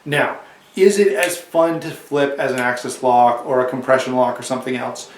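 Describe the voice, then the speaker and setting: A man with a cold and a slightly hoarse voice, casually reviewing a knife to camera, rambling with restarts and asides. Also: mid-range voice, moderate pace, roomy sound, recorded from a distance, a little hiss or hum.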